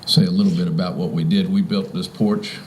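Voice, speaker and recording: A man in his fifties or older from Virginia giving an informal, halting welcome speech as a property owner. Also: low-pitched, steady tone, a little mellow, slight background noise, poor audio. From Virginia, United States. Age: 50s or older. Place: outdoors in front of a house.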